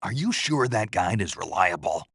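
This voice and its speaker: Gruff raspy game soldier guy, real low voice, deadpan n terse, stoic but kinda naive. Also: moderate pace, no room sound, full character voice. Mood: deadpan, curious. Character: gruff male special forces soldier, stoic, dryly naive. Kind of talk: video game voice acting.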